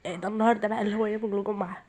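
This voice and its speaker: Quick-talking Egyptian village woman, tired. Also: medium pitch, fairly even intonation, darkish tone. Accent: Egyptian rural. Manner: chatty, explains each step to viewers. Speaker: home cooking vlogger. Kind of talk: casual cooking narration. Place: home kitchen.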